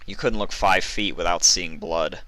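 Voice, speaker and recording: A man's voice: low-pitched, moderate pace, sharp tone, no room sound, poor audio. A man reading a story aloud as a narrator, in dramatic scripted narration. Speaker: fan fiction reader.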